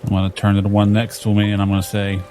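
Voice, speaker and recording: A man's voice: lowish voice, monotone, very fast, a little mellow, faint music behind. A man playing a tabletop game, talking casually through his moves.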